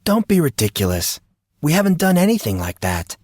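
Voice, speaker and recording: A man's voice: a little high, big pitch swings, a little fast, darkish tone, dry recording. Man playing a guarded, sarcastic teenage boy, dry recording. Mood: defensive. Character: guarded, sarcastic fourteen-year-old boy, awkward and exasperated. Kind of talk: audio drama character dialogue.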